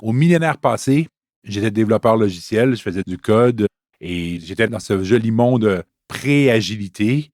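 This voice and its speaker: A man's voice: on the low side, darkish tone, dry recording, clean studio sound. A man in his fifties with a Canadian French accent, a Scrum trainer telling his story casually with fillers in an interview.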